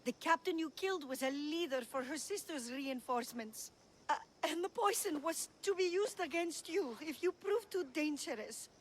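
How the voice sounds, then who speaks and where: An actress as a scared, pleading temple servant in a video game cutscene. She speaks nervously and haltingly, over noticeable background noise. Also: high-pitched, lightly acted. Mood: anxious. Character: frightened, pleading female servant of a priestess.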